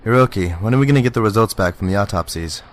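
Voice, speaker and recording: An actor playing a hot-tempered, frustrated police detective in an amateur radio drama. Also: male voice, lowish voice, animated, rapid-fire, close to an everyday voice. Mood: weary, serious. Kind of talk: amateur audio drama acting.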